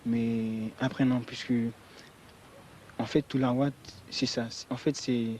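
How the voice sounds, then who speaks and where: A man's voice: medium pitch, level tone, unhurried, darkish tone, rough recording. A young man from French Guiana speaks in a mid-range voice as an interviewee. He tells his own story earnestly and emphatically, leaning on filler phrases, over faint background noise. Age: young adult. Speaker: student interviewee. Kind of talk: spontaneous personal account in interview.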